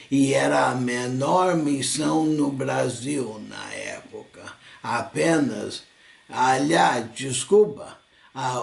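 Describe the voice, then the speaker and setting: An older American man, a native English speaker, telling his own story slowly in a church lesson, pausing often and correcting himself. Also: mid-range voice, creaky, roomy sound. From United States. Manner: slow, halting, with self-corrections and frequent coughs. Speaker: church teacher. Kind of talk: slow personal recollection, lesson.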